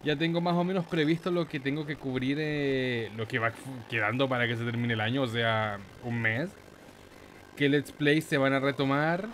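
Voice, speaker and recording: A man with a Chilean Spanish accent giving casual, excitable let's play commentary in a playful, slangy, exclamatory manner. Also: medium pitch, moderate pace, slight background noise. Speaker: gaming YouTuber, host.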